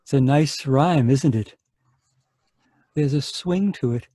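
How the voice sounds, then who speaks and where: A man's voice: medium pitch, takes it slowly, dark tone, no room sound, clean studio sound. A man playing a creepy killer doctor. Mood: sinister. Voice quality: creepy, sing-song when reciting rhymes. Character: sinister male doctor, secretly a rhyme-loving killer. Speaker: radio drama actor. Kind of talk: old-time radio drama reading. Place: home room, over a video call. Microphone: home computer mic.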